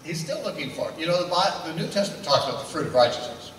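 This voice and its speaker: Older male preacher, high-pitched, teaching tone, asks and answers his own questions, echoey hall, heard from a distance. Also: expressive, racing, fairly bright tone, slight background noise. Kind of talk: sermon. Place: indoor meeting room. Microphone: handheld mic.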